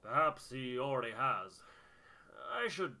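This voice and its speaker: A man voicing a genial, courteous older gentleman with hearty, old-fashioned manners in a video game. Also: medium pitch, expressive, a little slow. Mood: hearty, fond. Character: genial older gentleman, proud father of grown son. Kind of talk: theatrical game dialogue reading.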